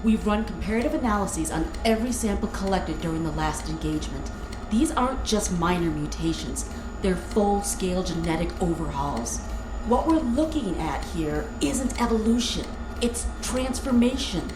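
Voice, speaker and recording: Confident female army researcher played by an actress, animated and assertive, sci-fi radio drama, echoey with score underneath. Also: medium pitch, normal speed, natural voice. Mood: assured, serious. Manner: assertive, explanatory. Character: brisk, confident female military scientist. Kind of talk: sci-fi audio drama dialogue. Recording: echoey room under music.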